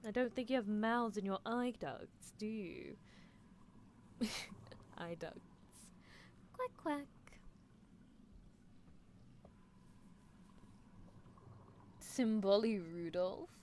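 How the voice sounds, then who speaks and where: A woman's voice: medium pitch, slow pace, long pauses, a little mellow, a little hiss or hum, lightly acted. A woman voicing a playfully menacing, teasing female mad scientist in a roleplay ASMR stream, musing theatrically. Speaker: livestreamer. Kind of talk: roleplay ASMR livestream, answering chat in character.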